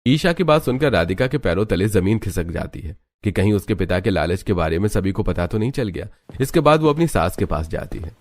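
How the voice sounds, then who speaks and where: A man's voice: low-pitched, sing-song, brisk, hardly any pauses, dry recording. A man narrating a scripted moral story as a storyteller.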